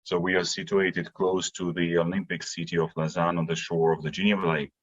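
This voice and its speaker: A man's voice: deep voice, flat delivery, a little fast, lo-fi sound. A man, giving explanatory answers as a podcast guest. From Latvia. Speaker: podcast guest, industry consultant. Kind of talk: interview answers, explanatory talk.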